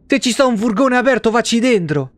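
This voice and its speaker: A young man doing casual, animated live game commentary in slangy language with frequent swearing. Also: extremely high pitch, fairly quick, no echo. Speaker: game streamer.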